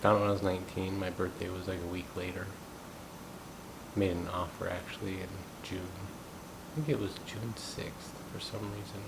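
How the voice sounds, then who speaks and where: Brief: thirties male, from the US, musing monologue, flat delivery, rumbling noise in the background. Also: vocal fry. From United States. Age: 30s. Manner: thoughtful, unhurried, musing aloud. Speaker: gong practitioner sharing thoughts. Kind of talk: reflective personal monologue.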